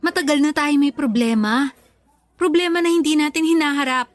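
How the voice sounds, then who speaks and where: Woman acting filipina girlfriend in a radio drama, really high voice, earnest, disillusioned, confronting. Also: brisk, no room sound, lightly acted. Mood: serious, hurt. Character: hurt, disillusioned young girlfriend, a content creator. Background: music.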